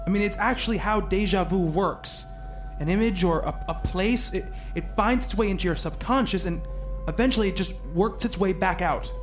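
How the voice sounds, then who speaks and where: An actor playing an earnest, devoted boyfriend who narrates a horror radio play in measured, formal, literary language. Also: male voice, sits high, natural voice. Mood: concerned, reassuring. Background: music.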